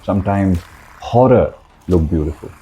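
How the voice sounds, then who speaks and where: A man with an Indian accent narrating a scripted video essay in a dramatic, emphatic way, with deliberate short phrases and switches between two languages. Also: low voice, animated, dark tone, poor audio.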